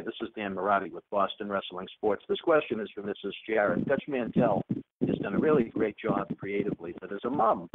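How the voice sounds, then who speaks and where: Guy reporter asking wordy questions on a conference call, lowish voice, phone audio. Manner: wordy, long-winded questions. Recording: narrow phone line.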